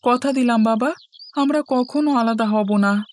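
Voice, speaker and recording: A woman's voice: fairly high pitch, measured pace, darkish tone, slight background noise, lightly acted. Woman voicing a meek, obedient village boy. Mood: pleading, sad. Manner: meek, polite. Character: obedient, gentle youngest brother, a village boy. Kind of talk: cartoon fairy-tale dialogue.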